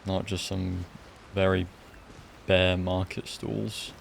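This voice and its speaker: A man giving casual live gameplay commentary as a streamer, blunt and deadpan, in halting phrases. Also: deep voice, creaky, slight background noise. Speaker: game streamer.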